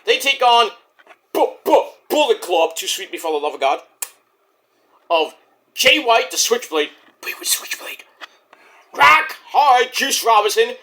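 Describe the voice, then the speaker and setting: A man with a high, bright voice talks loosely through a wrestling recap. He rambles, swears freely and jumps into excited bursts. A sore throat is wearing his voice down. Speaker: wrestling show reviewer. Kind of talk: casual spoken review.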